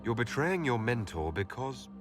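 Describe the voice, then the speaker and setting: A man's voice: medium pitch, very animated, normal speed, music in the background, natural voice. An actor playing a guarded male elf leader in a video game, direct and questioning in manner. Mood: wary, questioning. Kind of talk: video game dialogue acting.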